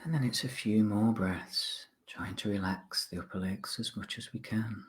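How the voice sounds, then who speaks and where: A man instructing listeners through a guided body scan relaxation, speaking politely in short phrases with pauses. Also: on the low side, steady tone.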